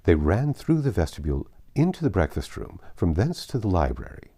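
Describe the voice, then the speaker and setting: A man's voice: low-pitched, very animated, a little fast, mellow, husky. A man narrating a classic novel from a script, as an audiobook reader.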